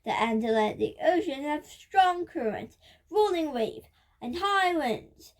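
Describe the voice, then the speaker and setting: A young girl reading a nonfiction book aloud in a careful, slightly halting way. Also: sits high, lively intonation. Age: child. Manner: careful, halting read-aloud with occasional stumbles. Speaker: reader of a children's nonfiction book.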